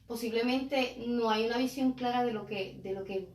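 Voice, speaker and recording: A woman with a Puerto Rican accent takes part in a conversational discussion. Her voice sits fairly high. The recording is roomy and echoey, with her some way from the microphone. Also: moderate pace, darkish tone. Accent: Puerto Rican Spanish. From Puerto Rico. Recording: echoey room, distant microphone.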